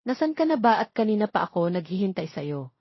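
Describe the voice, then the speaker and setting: A woman's voice: mid-range voice, sing-song, brisk, husky, dry recording. Casting: woman playing stern, wounded middle-aged mother for audio drama; emotional, firm, protective, dry muffled sound. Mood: impatient.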